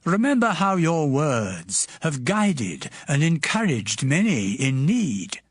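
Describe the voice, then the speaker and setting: An actor playing an earnest friend gently admonishing a sufferer with deliberate, solemn counsel in a dramatized audio Bible. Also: male voice, a little high, big pitch swings, slightly rough, dry recording, clean studio sound, heavily acted.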